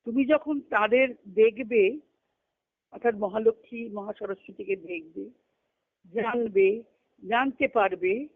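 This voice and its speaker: Lady explaining a spiritual reading, talks slowly and rephrases stuff, tinny conference call phone audio. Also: a little high. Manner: explanatory, repeats and rephrases phrases. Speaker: spiritual discussion leader.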